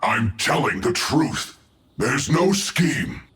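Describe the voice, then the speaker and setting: A man's voice: mid-range voice, sing-song, slow pace, fairly bright tone, a little hiss or hum. A man voicing a hapless, indignant enemy soldier in a video game, protesting in an exasperated, whiny way. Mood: bewildered, protesting. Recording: echoey, music behind the voice.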